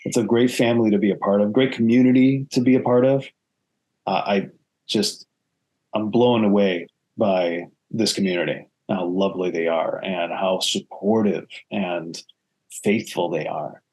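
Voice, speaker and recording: A man speaking as an actor being interviewed, earnest and reflective, often halting and restarting his phrases. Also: on the low side, steady tone, darkish tone, breathy edge, rough recording.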